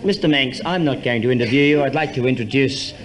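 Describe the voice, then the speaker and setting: A man's voice: medium pitch, fast. An Australian man co-hosting an old-time radio show, making chatty, somewhat hesitant introductions. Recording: slightly noisy old radio recording.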